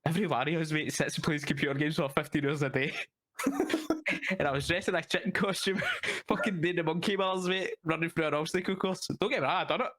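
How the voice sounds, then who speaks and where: A man's voice: on the high side, steady tone, fast talker, a bit bright, no room sound. A young man with a Glaswegian accent, chatting casually as a guest, swearing and laughing, calling everyone mate. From Scotland. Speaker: guest, roleplay streamer. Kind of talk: casual chat.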